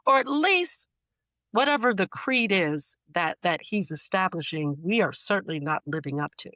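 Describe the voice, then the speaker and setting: A woman's voice: medium pitch, animated, moderate pace, bright, forceful tone, dry recording. An American woman talking as a guest poet in a conversational interview, explaining her ideas thoughtfully and with emphasis.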